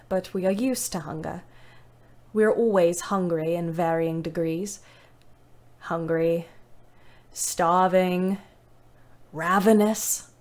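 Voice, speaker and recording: A woman playing a weary young British ambulance driver in her twenties, narrating her war diary in a literary, wry, measured way. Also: fairly low pitch. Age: 20s. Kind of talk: scripted audio drama narration.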